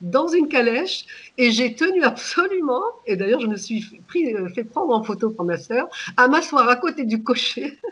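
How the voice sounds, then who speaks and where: A woman in her sixties from Egypt, whose first language is Arabic, calmly recalling her childhood as a radio guest, pausing and restarting often. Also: fairly high pitch, slightly rough. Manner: hesitant, many pauses and restarts. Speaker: guest, author. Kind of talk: reflective personal storytelling.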